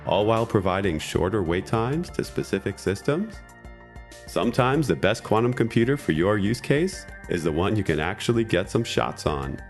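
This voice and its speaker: A low-pitched man hosts a podcast interview. He speaks in a friendly way, jokes lightly, and now and then stumbles and restarts a phrase. Faint music plays softly beneath his voice. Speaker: podcast host.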